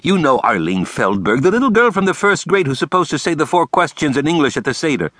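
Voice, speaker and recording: A man's voice: fairly high pitch, very animated, quick pace, fairly bright tone, no echo, clean studio sound, voice pushed up. A man voicing a bouncy, theatrical male school principal of about forty who frets and insists with indignation. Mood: fretful.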